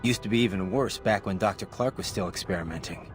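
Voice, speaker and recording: An actor playing a brooding, cynical cyborg mercenary in a video game, gruff and terse. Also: male voice, on the low side, fast, lightly acted. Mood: wry, reflective. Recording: music behind.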